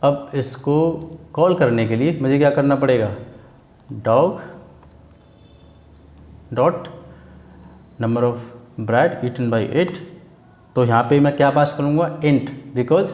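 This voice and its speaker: Indian guy doing a coding tutorial, echoey room, mid pitch, teachery, asks himself questions then answers. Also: a little mellow. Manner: didactic, asks and answers own questions, frequent check words. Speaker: programming tutor. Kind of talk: informal screen-share tutorial, explaining step by step. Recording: echoey, roomy sound.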